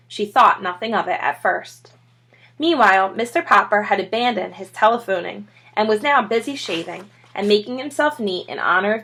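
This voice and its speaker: A woman reading a children's story aloud as a teacher, in an expressive storytelling style. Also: mid-range voice, slightly rough.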